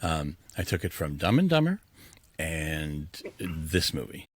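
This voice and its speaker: A man in casual, joking film chat, slangy and a little halting, with comic exasperation. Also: a bass voice, big pitch swings, background noise. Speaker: film podcast panelist.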